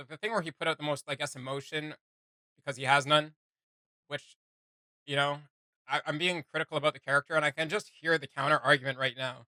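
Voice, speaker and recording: A man's voice: a little high, pushed and bright, smooth, no echo. A man hosting a reaction video with casual, off-the-cuff commentary, blunt and sarcastic as he rambles.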